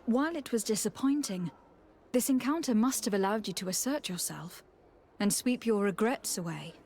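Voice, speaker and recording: A woman's voice: mid-range voice, lively intonation, normal speed, darkish tone, slight background noise, lightly acted. An actress playing a courteous, caring noblewoman emissary in a video game, polite and composed in formal phrasing. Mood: considerate. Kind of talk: video game dialogue acting.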